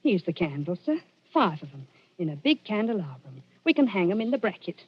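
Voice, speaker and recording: An animated, huffy Victorian housekeeper. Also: female voice, on the low side, fairly quick. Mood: huffy, fussy. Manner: huffy, deferential. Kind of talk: 1940s radio acting. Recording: noisy, dull vintage radio broadcast.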